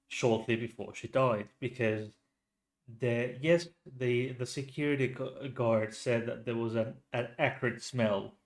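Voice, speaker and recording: A man with a Finnish accent, host of a true crime channel, talking casually with lots of restarts and pauses. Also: medium pitch. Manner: hesitant, many restarts and fillers. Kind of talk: casual solo commentary.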